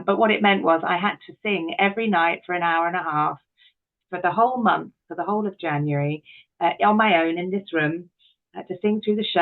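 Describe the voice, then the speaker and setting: A woman's voice: on the low side, narrow, tinny audio. A middle-aged woman, talking candidly and expressively as an interview guest. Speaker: interview guest, actress, writer and director.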